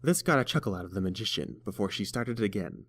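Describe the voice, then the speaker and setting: A man's voice: fairly low pitch, lively intonation, quick pace, fairly bright tone, dry recording, clean studio sound. A man reading scripted story narration as the narrator.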